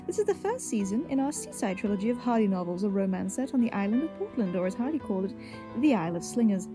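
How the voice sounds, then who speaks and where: A woman's voice: mid-range voice, big pitch swings, fast. A woman hosting an audio drama, giving a chatty spoken introduction as its writer and producer. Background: music.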